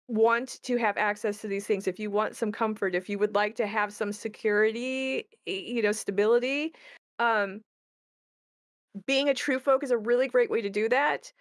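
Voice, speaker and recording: A woman's voice: on the high side, no room sound, clean studio sound. A woman who is a game creator, hosting a casual question-and-answer chat.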